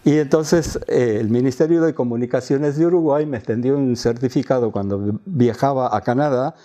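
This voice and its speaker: An Argentine man in his eighties who grew up speaking Quechua tells of his own life as a guest in an interview. His voice is soft-edged and mellow, at a middling pitch, with the phrasing of a former announcer. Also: moderate pace. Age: 80s. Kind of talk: autobiographical storytelling in an interview.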